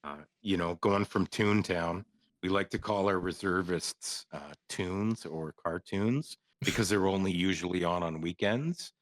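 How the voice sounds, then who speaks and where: A man from Canada with a very deep voice tells stories in an easygoing, joking way, laughing often and swearing casually. The sound is dry and close to the mic, with no room around it. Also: unhurried, no echo. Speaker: guest, former soldier. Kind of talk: casual podcast storytelling.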